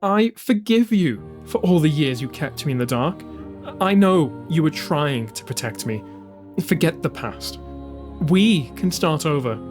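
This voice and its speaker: A man's voice: on the high side, animated, unhurried, darkish tone, soft background music. A man voicing an earnest, imploring younger sister turned zealous traitor in an audio drama. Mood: sincere pleading.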